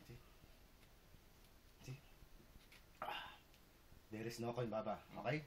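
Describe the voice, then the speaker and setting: A man's voice: mid-range voice, fairly even intonation, slow pace. A man from the Philippines with a Filipino accent, performing street magic for passersby in casual, repetitive broken English, coaxing his audience along. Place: outdoors on a city street. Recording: noisy street with traffic.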